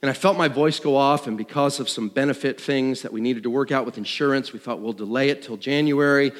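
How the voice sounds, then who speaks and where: A man with a medium-pitched voice shares a personal testimony as a worship leader. He talks conversationally and with self-deprecation, voicing bits of dialogue and his own thoughts as he goes. The sound is live, with long reverb from a large hall. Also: fairly quick. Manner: animated storyteller, acting out dialogue and thoughts. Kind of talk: personal testimony told to a congregation. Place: large room, speaking to an audience.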